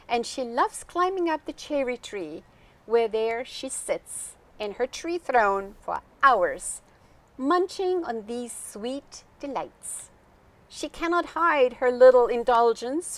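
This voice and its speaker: Lady reading a kids story, kinda high voice, real slow, talks right to the little ones. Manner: expressive, addresses young listeners directly with questions. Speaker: storyteller and host. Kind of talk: children's storytime narration.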